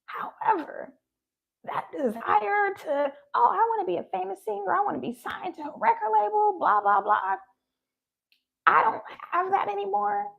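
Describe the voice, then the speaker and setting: A woman's voice: extremely high pitch, moderate pace, gravelly, phone-quality sound. A woman giving a heartfelt spiritual talk, her voice shaky and sounding close to tears. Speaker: spiritual vlogger.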